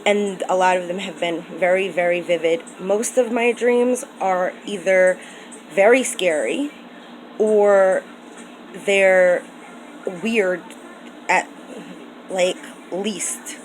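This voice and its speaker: An earnest woman from the United States speaks to her viewers in a low-leaning voice, circling back with ums and restarts. Her talk is loose and personal, and a faint hiss sits under the recording. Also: fairly even intonation, takes it slowly, long pauses, poor audio. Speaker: vlogger. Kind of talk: casual personal vlog talk.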